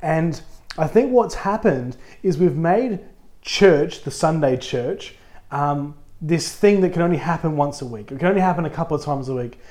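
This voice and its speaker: A man who is a pastor, co-hosting a casual podcast, a little husky from a cold, upbeat and chatty. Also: on the high side, lively intonation, normal speed, a little mellow. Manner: upbeat, chatty, laughs easily.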